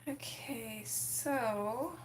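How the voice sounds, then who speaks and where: Slightly gravelly woman streamer chatting w viewers, highish voice, echoey room. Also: extremely slow, a little hiss or hum. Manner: chatty, reads and answers chat comments. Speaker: livestream host. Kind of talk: casual livestream chat while coloring.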